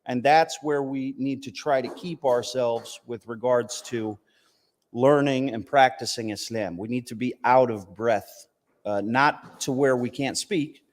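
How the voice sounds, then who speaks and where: Male American speaker, medium pitch, conversational Islamic teacher giving a personal talk, long hall reverb. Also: a little slow. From United States, Southern family from West Virginia, partly raised in Palestine. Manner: conversational, punctuated with "you know" and "right?" checks. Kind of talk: informal religious talk with personal testimony.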